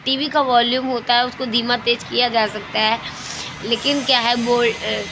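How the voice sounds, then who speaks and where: A woman's voice: a little high, little pitch movement, moderate pace. A middle-aged woman from India chatting casually as a lifestyle vlogger, repeating phrases and searching for words as she goes. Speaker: lifestyle vlogger, homemaker and mother. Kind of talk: casual chat about daily routine. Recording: clear background ambient and vehicle noise.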